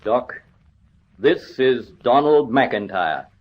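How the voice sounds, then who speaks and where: Old radio serial: earnest military prison governor, measured, mid-pitched, dull vintage recording. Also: male voice, animated. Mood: earnest, troubled. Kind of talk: old-time radio drama acting.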